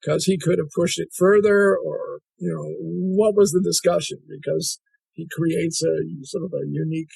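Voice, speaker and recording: A man's voice: on the high side, fairly even intonation, moderate pace, gravelly, a little mellow, breathy edge, no room sound, low-quality recording. A male interviewer asking probing questions, often restarting his sentences. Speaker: interviewer, host.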